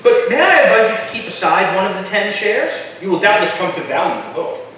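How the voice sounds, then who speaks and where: An actor playing an earnest young interpreter, respectful, tactful and hesitant, in a staged reading of a historical play. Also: male voice, high voice, big pitch swings, brisk, fairly bright tone. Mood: deferential, earnest. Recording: echoey room from far off, faint noise.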